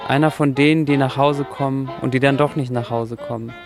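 An actor as a gravelly, sombre prologue narrator. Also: male voice, mid-range voice, fairly even intonation, brisk, natural voice. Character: sombre male narrator of a bleak homecoming tale. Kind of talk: theatrical radio drama prologue. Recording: orchestral music behind the voice.

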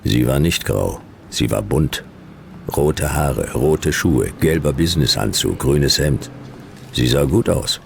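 Very deep, gravelly noir detective. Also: male voice, slow pace, a little hiss or hum, heavily acted. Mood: deadpan, sardonic. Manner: laconic, deadpan, clipped sentences. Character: world-weary, wry male private detective in dystopian future. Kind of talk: noir radio drama, first-person narration and dialogue.

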